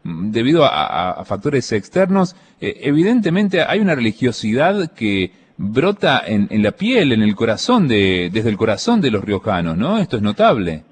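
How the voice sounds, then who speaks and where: Hesitant radio host. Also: male voice, mid-range voice, sing-song, a little hiss or hum. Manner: halting, many "eh" and restarts. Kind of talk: radio interview.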